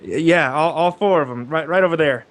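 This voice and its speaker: A man acting a flustered, skeptical real estate agent in an old-time radio drama, talking casually with stammers and halting phrases. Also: a little high, close to an everyday voice. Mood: earnest, grim.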